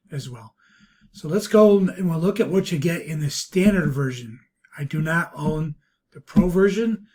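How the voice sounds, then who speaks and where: Male tutorial reviewer, slightly high pitch, dark relaxed tone, halting delivery. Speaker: product reviewer. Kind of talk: screen-recorded software walkthrough. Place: voice-over recorded over a screen capture.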